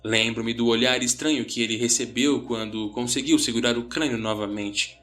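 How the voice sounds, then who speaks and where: A man's voice: medium pitch, steady tone, normal speed, fairly bright tone. A man narrating a scripted first-person horror story in a dramatic storytelling style. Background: background music.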